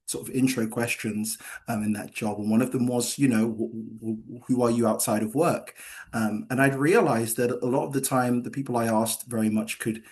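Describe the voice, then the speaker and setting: A man speaking as a screenwriter in a Q&A, halting and hedging as he explains, often repeating himself. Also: on the low side, darkish tone. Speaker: screenwriter answering questions. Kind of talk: post-reading Q&A discussion.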